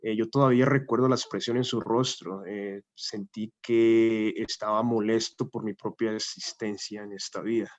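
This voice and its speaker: A man giving an academic talk as a guest lecturer, speaking in a measured, hesitant way with many "eh" fillers. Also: fairly low pitch, fairly even intonation. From Colombia. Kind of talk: academic talk with a personal story. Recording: muffled lo-fi audio.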